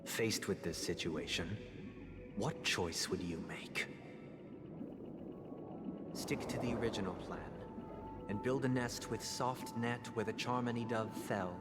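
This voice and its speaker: An actor playing a soft-spoken, courteous, brooding young mastermind in a video game, speaking in measured, formal, gently persuasive monologue. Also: male voice, lowish voice, natural voice. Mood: solemn, probing. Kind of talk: scripted video game dialogue, storytelling monologue. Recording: long echoing reverb, loud music behind. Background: game music.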